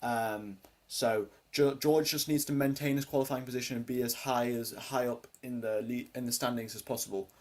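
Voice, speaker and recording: A teenage boy with a deep voice for his age, hosting a casual live race watchalong, rambling with lots of ums and uhs as he answers chat questions. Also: steady tone, normal speed, hardly any pauses, a little mellow. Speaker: live stream host.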